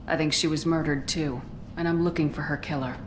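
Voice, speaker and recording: Woman playing a low-voiced, resolute military commander. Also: fairly even intonation, brisk, noisy background, natural voice. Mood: steady, reassuring. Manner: direct, controlled, serious. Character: female military commander, resolute, composed, direct. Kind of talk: video game dialogue acting.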